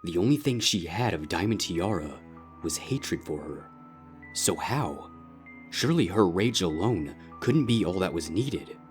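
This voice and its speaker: A man's voice: deep voice, expressive, measured pace. A man reading scripted dramatic fiction as the narrator. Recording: music under the voice.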